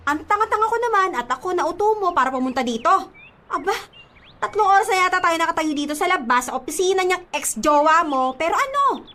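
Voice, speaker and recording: A woman's voice: very high-pitched, sharp tone, heavily acted. An actress playing a blunt, exasperated young Filipino woman, the sassy best friend in a radio drama, nagging with dramatic sarcasm. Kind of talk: radio drama acting in Taglish. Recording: music behind the voice.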